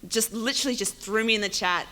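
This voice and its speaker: A woman's voice: on the high side, fast talker, sharp tone. A woman chatting casually and telling anecdotes as a panelist at a convention. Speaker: convention panelist, fan audio drama performer. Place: large hall at a fan convention. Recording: echoey noisy hall, PA sound.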